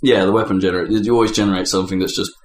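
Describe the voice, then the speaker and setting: Informal low-voiced man, echoey room. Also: steady tone, very fast. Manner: informal, sweary. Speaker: podcast co-host. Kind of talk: casual gaming chat. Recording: echoey room, faint background noise.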